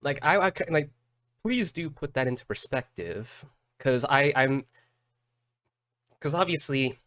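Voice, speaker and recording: A man doing casual live reaction commentary as a streamer, halting and repeating words as he thinks aloud. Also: mid-range voice, animated, a bit bright, dry recording, studio quality. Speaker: streamer reacting to game videos.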